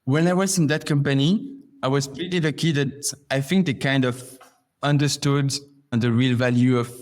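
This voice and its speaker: A man's voice: mid-range voice, steady tone, normal speed. A man from Belgium talking conversationally as a guest in a podcast interview. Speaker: podcast guest, customer success manager.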